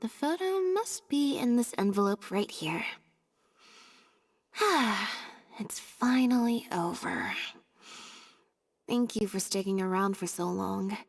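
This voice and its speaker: A woman playing a reserved young female stage assistant in a video game, speaking in a deadpan, understated way. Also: medium pitch, takes it slowly, pauses often, long reverb tail. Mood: subdued.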